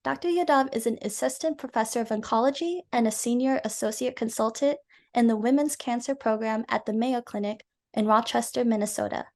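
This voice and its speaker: A woman host introducing a guest and asking polite, measured questions. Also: a little high. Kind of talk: scripted interview host.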